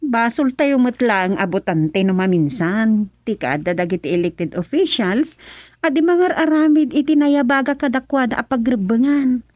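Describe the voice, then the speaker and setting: A woman as an earnest, high-voiced Ilocano village daughter. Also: lively intonation, normal speed, a little mellow, dry recording, dull audio, lightly acted. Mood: earnest, opinionated. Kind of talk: radio drama acting, conversational.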